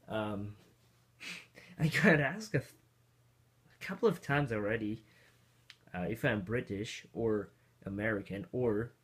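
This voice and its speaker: A man from Austria chatting casually as he tinkers with an old computer, rambling to himself and chuckling now and then. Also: lowish voice. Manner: rambling, self-talk while tinkering, chuckles often. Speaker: vintage computer hobbyist. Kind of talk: casual hands-on tech vlog.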